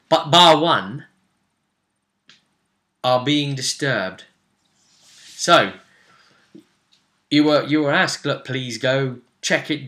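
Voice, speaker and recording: A man recapping a tabletop role-playing session as game master in casual, halting storytelling, often repeating phrases as he goes. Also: fairly high pitch, bright, forceful tone.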